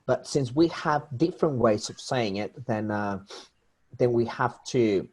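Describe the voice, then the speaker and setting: A man's voice: medium pitch, expressive, measured pace, darkish tone, husky. Spanish-accented male English teacher, explanatory classroom delivery, talks directly to students. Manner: explanatory, addresses students directly, many restarts. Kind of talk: online class lecture.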